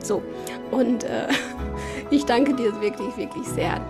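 A woman's voice: on the high side, takes it slowly, loud music behind the voice. A woman hosting a casual podcast interview, laughing often and speaking encouragingly. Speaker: podcast host.

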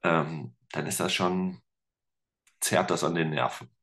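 A man's voice: sits low, takes it slowly, vocal fry, fairly bright tone. A man chatting casually as a podcast guest, rambling with fillers and restarts and joking about himself. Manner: self-deprecating humor. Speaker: podcast guest, teacher and musician. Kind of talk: casual interview chat.

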